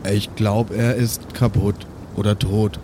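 A man's voice: fairly low pitch, flat delivery, takes it slowly, background noise. A man speaking casually as a player in an improvised tabletop role-playing game.

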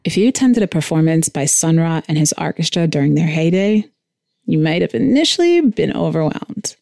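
A woman with a fairly low voice reads scripted podcast narration as the host. The recording is dry and close, with very little room sound. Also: big pitch swings, moderate pace, vocal fry, warm tone, no room sound, soft background music.

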